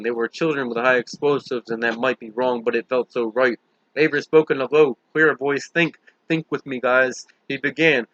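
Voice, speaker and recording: A man's voice: mid-range voice, a bit bright, dry recording, lo-fi sound. A man reading a novel aloud as dramatic, emphatic audiobook narration, in a theatrical way. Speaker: book reader.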